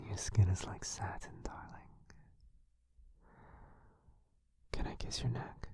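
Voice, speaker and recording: Guy acting as a gentle vampire boyfriend for asmr, husky whisper, slow and reassuring, super close dry mic. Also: no echo, natural voice. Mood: adoring, hungry.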